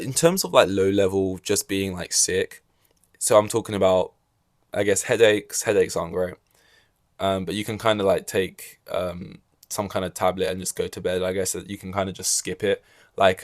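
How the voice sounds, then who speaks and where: A man's voice: lowish voice, no room sound, studio quality. A young man hosting a casual solo podcast, rambling with wry asides and a slightly scratchy throat. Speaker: podcast host.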